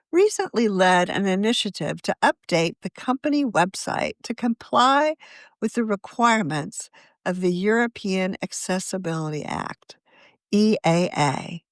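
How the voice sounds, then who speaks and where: A woman, a magazine's deputy editor, reading her own article aloud in a measured, scripted narration. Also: mid-range voice, no echo, clean studio sound.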